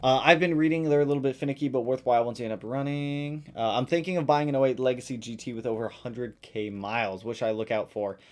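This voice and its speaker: A man's voice: quick pace, few pauses. Fields: man, live stream host. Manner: reads viewer comments aloud and answers them in a relaxed, chatty way; greets viewers loudly with sudden jumps in pitch. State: voice tiring after a long stream. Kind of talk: casual live stream Q&A about cars.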